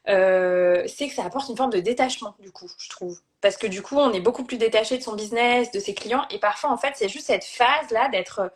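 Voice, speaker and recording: A woman's voice: on the high side, a little fast, few pauses. A woman hosting a casual live-stream conversation as a life coach, speaking thoughtfully and sharing personal anecdotes.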